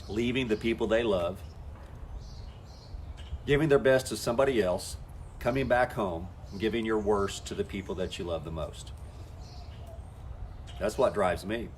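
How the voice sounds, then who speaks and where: A man's voice: on the low side, a little hiss or hum. A man coaching network marketers in a folksy, talk-to-camera style, acting out the conversations he has with people. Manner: folksy, acts out quoted conversations.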